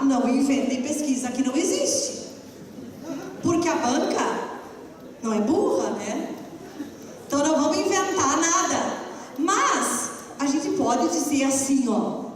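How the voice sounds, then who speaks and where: A woman's voice: high voice, distant mic. A woman teacher with a southern Brazilian accent, lecturing a class directly and teasingly. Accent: southern Brazilian (Rio Grande do Sul). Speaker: essay-writing teacher. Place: large classroom. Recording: echoey hall recording from afar with faint hum.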